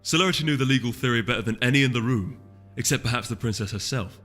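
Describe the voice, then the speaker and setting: Bright-voiced man narrating in reverb. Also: mid-range voice, quick pace, soft background music. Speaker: audiobook reader. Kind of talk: scripted dramatic story narration.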